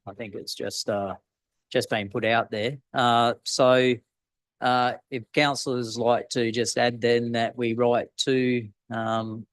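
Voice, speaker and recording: Flat-toned Australian mayor chairing. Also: male voice, fairly low pitch, dry recording. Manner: steady, procedural, with fillers. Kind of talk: chairing a council meeting.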